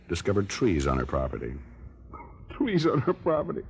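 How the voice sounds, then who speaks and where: An actor playing a flippant American private detective in 1940s radio style, with glib, deadpan quips. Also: male voice, deep voice, normal speed, a little hiss or hum. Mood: flippant, teasing.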